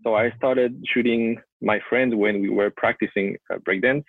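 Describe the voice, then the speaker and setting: A man's voice: fairly low pitch, fairly even intonation, normal speed, gravelly, slightly rough, dry recording. French-accented man on a tinny call line. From France. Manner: hesitant, repeats words while searching for English. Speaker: guest photographer. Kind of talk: casual interview. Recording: tinny call audio, dry.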